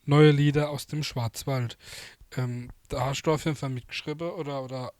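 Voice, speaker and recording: A man's voice: medium pitch, steady tone, normal speed, a little mellow, no echo. A man with an Alemannic Baden German accent, hosting a studio interview and asking loose, rambling questions. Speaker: host. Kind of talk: casual radio interview.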